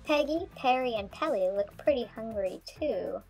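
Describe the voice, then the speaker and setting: A woman doing a high bubbly dog narrator. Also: expressive, normal speed, gravelly, rough recording. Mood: playful. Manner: bubbly, punny, pirate-talk. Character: cheerful young dog narrating her boat life. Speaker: vlog narrator. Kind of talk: scripted playful narration. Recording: music playing under the voice. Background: music.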